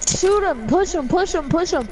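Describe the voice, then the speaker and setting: A woman on a game voice chat, giving quick bossy tactical orders to a teammate. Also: extremely high pitch, animated, far from the mic, a little hiss or hum, low-quality recording. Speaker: gaming teammate. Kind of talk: casual gaming chat.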